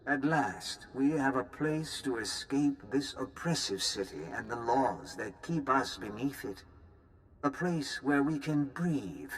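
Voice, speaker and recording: An actor playing a solemn leader of outcasts, recording a grave, measured journal in a video game. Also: male voice, mid-range voice, lively intonation, noisy background. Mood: relieved, solemn.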